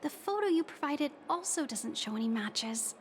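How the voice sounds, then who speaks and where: A woman's voice: high voice, animated, normal speed. A woman playing a courteous, formal hotel receptionist in a video game. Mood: polite, apologetic.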